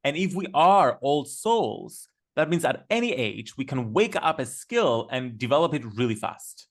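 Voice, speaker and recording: A man's voice: mid-range voice, very animated. Enthusiastic man. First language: Greek. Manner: enthusiastic, storytelling, quick with fillers. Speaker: guest. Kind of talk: casual podcast conversation.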